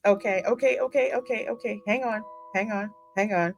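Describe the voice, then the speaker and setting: A woman's voice: a little high, a little fast, vocal fry. A woman hosting a game stream, reading the story aloud expressively and reacting casually. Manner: expressive, dramatic read-aloud with casual exclamations. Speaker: gaming channel host. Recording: music behind the voice. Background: game music.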